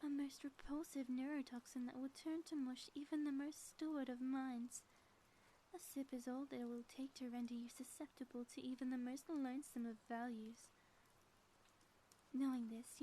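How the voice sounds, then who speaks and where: A woman's voice: sits high, fairly even intonation, normal speed, dark tone, slight background noise, lightly acted, rough recording. A woman playing a theatrical, gloating villainess in a scripted ASMR audio roleplay, with florid, melodramatic delivery. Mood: menacing, gloating. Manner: grandiose, florid, melodramatic phrasing.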